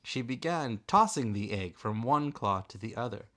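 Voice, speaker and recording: A man narrating a storybook aloud in a deliberate, expressive, dramatic way. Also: mid-range voice. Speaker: narrator, father reading aloud.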